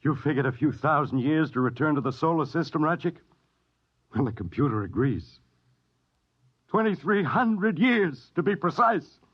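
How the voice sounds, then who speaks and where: An actor playing a stern, strained spaceship captain, authoritative and dramatic, in the style of 1950s radio. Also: male voice, on the high side, lively intonation, moderate pace, breathy edge. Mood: bitter irony. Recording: dull old radio recording.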